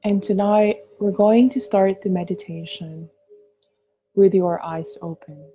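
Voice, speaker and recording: Slow, very mellow woman guiding meditation. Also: medium pitch, steady tone, lo-fi sound, soft background music. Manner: gentle, soothing, unhurried with long pauses. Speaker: meditation guide. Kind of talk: guided meditation narration.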